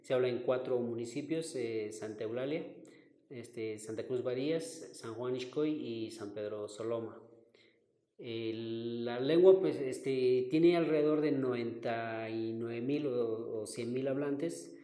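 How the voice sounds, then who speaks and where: A man in his forties whose first language is Q'anjob'al, a linguistics professor calmly recalling his path in an interview. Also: medium pitch. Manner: thoughtful, unhurried, searching for words. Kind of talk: interview answers, personal recollection. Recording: roomy recording with long reverb.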